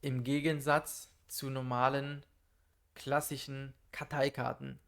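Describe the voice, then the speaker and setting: A man teaching German in a calm, methodical monologue, speaking slowly and clearly for learners. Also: mid-range voice. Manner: calm, methodical, lists numbered tips.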